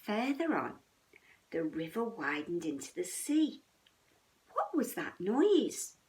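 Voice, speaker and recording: Woman, children's bedtime story reader, very lively intonation, leisurely pace, dramatic, sometimes hushed. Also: mid-range voice, slow pace, distant mic.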